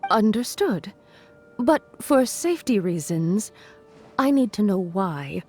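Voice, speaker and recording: A woman's voice: mid-range voice, big pitch swings, takes it slowly, a little mellow. A woman playing a polite, dutiful official with a deferential manner in an anime game. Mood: polite, attentive. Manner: courteous, deferential. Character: polite, dutiful female official at a records desk. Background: background music.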